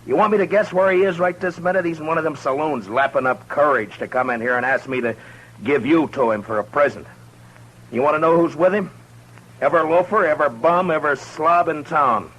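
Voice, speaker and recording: An actor playing a stern, hard-bitten Old West marshal, commanding and blunt, in a 1950s radio drama. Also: male voice, a little high, fairly quick, fairly bright tone. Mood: angry, urgent. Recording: noisy old radio broadcast recording.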